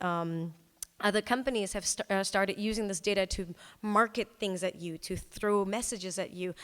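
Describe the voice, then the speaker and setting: A woman giving a talk as a presenter, conversational and informal, with casual asides to her listeners. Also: medium pitch. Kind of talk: conference talk presenting a storytelling project. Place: indoor presentation hall. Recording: echoey hall with long reverb.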